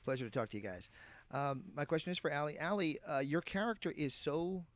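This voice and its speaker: A man's voice: fairly high pitch, brisk, dry recording. A man working as a reporter, politely asking a conversational question on a press call. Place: telephone conference call.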